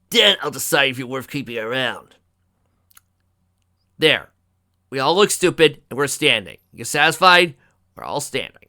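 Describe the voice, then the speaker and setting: A reader voicing a brash, sarcastic female pegasus pony who grudgingly warms up, for a fantasy story. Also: medium pitch, voice pushed up. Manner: loud, sarcastic, blustering.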